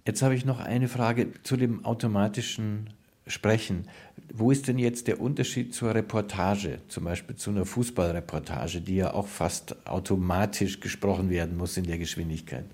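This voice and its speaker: A man interviewing someone, putting prepared questions in a measured, inquiring manner. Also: fairly low pitch, darkish tone.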